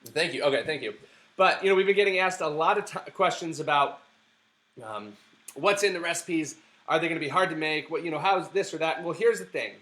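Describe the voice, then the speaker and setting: A man with a hoarse, sore throat hosting a casual live stream from a family home, talking with eager conviction and plenty of ums and restarts. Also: on the high side, expressive, a little fast, fairly bright tone. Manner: enthusiastic, preachy advocacy with many fillers and restarts.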